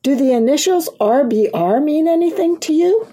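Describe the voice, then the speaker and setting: A woman's voice: high voice, lively intonation, normal speed, dark tone, voice pushed up. A woman voicing an eager, stubborn young boy in a children's storybook, forward and blunt.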